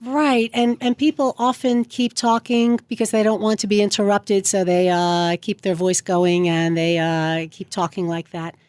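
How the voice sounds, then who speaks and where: A woman's voice: medium pitch, flat delivery, normal speed, hardly any pauses, darkish tone, no echo. A middle-aged woman, a speech coach, explaining voice habits on a radio show in a studio. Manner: demonstrates voice habits with her own voice.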